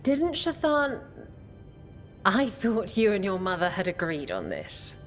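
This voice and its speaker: An easygoing fantasy game treasure hunter. She is breezy and very lively in pitch, yet takes her time. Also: slow, faint music behind.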